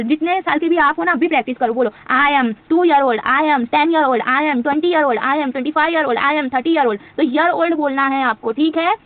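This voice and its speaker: Woman teacher, high voice, talks fast, quizzes and answers herself, tinny phone-ish sound. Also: no room sound. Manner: repeats quiz questions and answers them herself; drawls English example sentences slowly. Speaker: English teacher. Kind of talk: spoken English lesson for Hindi speakers.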